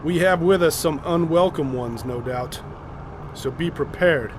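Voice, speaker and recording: Commanding expedition leader, played by a man, rumbling background. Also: background noise, lightly acted. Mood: resolute. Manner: deliberate, explanatory, authoritative. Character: commanding, methodical male leader of a polar research expedition. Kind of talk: audio drama acting.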